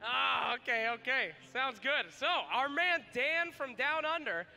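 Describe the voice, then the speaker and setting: Bright, very high-pitched male game caster. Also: a little slow, creaky, soft background music. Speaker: esports commentator. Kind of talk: playful, excitable game commentary.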